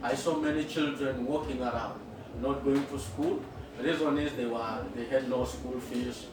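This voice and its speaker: A middle-aged man with a Kenyan accent, a school founder, telling his story in an interview in an earnest, unhurried way with frequent fillers. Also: medium pitch, fairly even intonation. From western Kenya. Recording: echoey room, far from the mic, with noticeable background noise.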